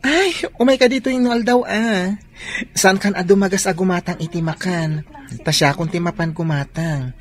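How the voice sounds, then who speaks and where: A woman's voice: fairly low pitch, normal speed, lightly acted. Woman acting coy effeminate Filipino sweetheart. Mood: affectionate. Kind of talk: Ilocano radio drama acting.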